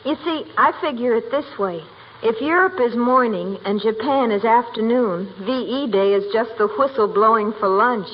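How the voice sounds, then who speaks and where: Actress for chirpy, wide-eyed young working girl, high breathy-rough voice, vintage radio comedy, dull recording. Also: normal speed, natural voice. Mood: earnest. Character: naive, chirpy young woman, airplane plant worker. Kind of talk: 1940s radio comedy acting.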